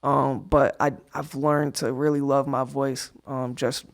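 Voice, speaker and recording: A young man, a rapper guest, talking in a relaxed, lighthearted way with a raspy voice and frequent laughs. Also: measured pace. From New York State. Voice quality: raspy, fairly high voice. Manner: laughs often, easygoing, lighthearted. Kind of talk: casual interview chat.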